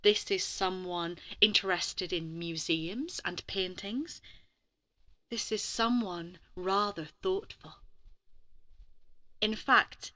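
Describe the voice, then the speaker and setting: Casting: woman narrator for children's bedtime stories, bright voice, slow, deliberate dramatic delivery. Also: on the low side. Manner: dramatic, deliberate, with pauses and breathy whispered emphasis; addresses young listeners with questions. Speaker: storyteller for a gallery's bedtime stories.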